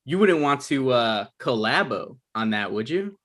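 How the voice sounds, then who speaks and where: A man's voice: medium pitch, big pitch swings, a little slow, fairly bright tone. A man playing an eager, hapless aspiring TV writer in a comedy table read.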